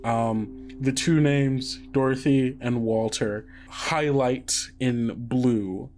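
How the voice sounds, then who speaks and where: A man's voice: mid-range voice, fairly even intonation, a little mellow, soft background music. A man narrating as game master, hesitant and filling gaps with "uh" and "like". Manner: halting, lots of fillers like "uh" and "like".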